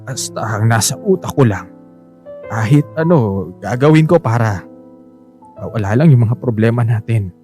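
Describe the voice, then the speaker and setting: A man's voice: medium pitch, animated, moderate pace, pauses often, warm tone, soft background music, natural voice. A Filipino actor playing a self-sacrificing working-class husband and father, defensive and pleading, in a Tagalog radio drama. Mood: pleading, distressed. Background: musical underscore.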